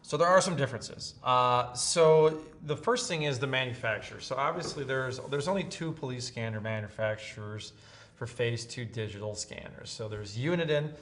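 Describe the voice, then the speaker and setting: A man's voice: mid-range voice, fairly bright tone. A man in his thirties giving a casual product comparison, seated at a table in a room. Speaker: product reviewer. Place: room with brick wall, at a table.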